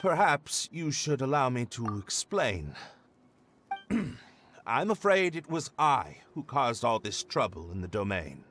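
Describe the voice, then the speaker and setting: A man playing an earnest, apologetic, bumbling young gentleman in an anime video game, speaking formally and fussily with nervous hesitation. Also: medium pitch, very animated, slow, a little hiss or hum. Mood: apologetic, explaining. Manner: formal wording, fussy, nervous, throat-clearing. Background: game music.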